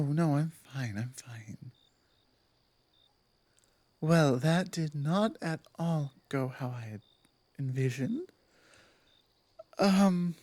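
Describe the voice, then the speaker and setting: A female werewolf pack leader, acted by a woman speaks in an intimate ASMR audio drama. She is earnest and deliberate, picking her words slowly and haltingly. Her authority has softened into careful sincerity, and the sound is dry and close. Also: low voice, no room sound, exaggerated voice. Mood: hushed, shaken. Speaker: audio roleplay performer. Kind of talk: intimate ASMR roleplay acting.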